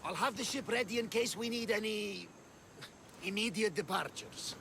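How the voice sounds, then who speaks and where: A man's voice: high-pitched, normal speed, creaky, pushed and bright, a little hiss or hum, heavily acted. A man playing a talkative, genial Greek ship captain who relishes telling tales, in a video game cutscene. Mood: businesslike.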